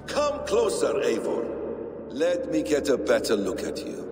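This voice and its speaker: A man's voice: mid-range voice, huge pitch swings, unhurried, a little mellow. An actor playing a vengeful, half-mad assassin villain in a video game, theatrical and menacing as he savors each word. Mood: taunting. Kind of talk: dramatic video game cutscene acting. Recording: cavernous reverb, music underneath.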